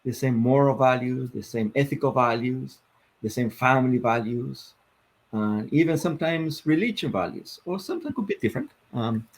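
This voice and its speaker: A man in his fifties from Argentina with an Argentine Spanish accent, a guest telling his life story in a reflective, measured way with many fillers. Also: mid-range voice, expressive. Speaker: guest, sports psychologist. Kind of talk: interview storytelling.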